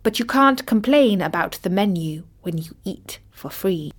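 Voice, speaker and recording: A woman voicing a wry old vulture who narrates an animal fable in a measured, dramatic storytelling style. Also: medium pitch, animated, breathy edge. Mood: wry, knowing. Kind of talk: scripted storytelling in character.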